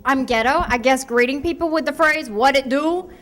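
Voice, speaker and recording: High-voiced sassy woman from Ohio. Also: moderate pace, noisy background. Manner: brash, slangy and sassy. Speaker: stand-up comedian. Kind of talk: stand-up set.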